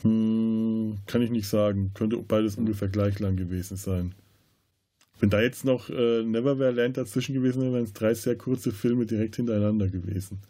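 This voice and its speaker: A man's voice: lowish voice, steady tone, normal speed, warm tone, faint music behind. A man talks about a film as a podcast host in a casual way, often searching for words. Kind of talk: casual film discussion with scene retelling.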